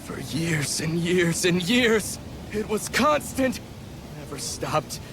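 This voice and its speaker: Slow-spoken, bitter former slave. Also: female voice, fairly low pitch, sing-song, background noise, heavily acted. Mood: bitter grief. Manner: slow, halting, emphatic delivery. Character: embittered former slave grieving murdered loved ones. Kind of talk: dramatic video game acting.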